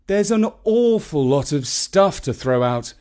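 A man voicing a meek, mild-mannered middle-aged son in a children's storybook, soft-spoken and dutiful with a plaintive note. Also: on the high side, big pitch swings, unhurried, voice pushed up.

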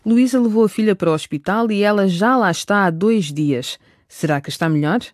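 A man's voice: high voice, a little fast, no echo, studio quality. A man narrating a radio drama from a script.

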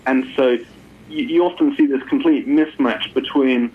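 A man's voice: medium pitch, normal speed. A man explaining things as an expert guest in an interview, repeating words as he thinks aloud. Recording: muffled phone-like line.

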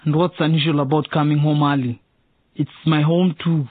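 An actor playing a sullen, dejected young brother in a radio drama. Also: male voice, fairly high pitch, little pitch movement, moderate pace, breathy edge, no echo, phone-quality sound. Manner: sullen, curt. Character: sulky, dejected young brother quitting his sport.

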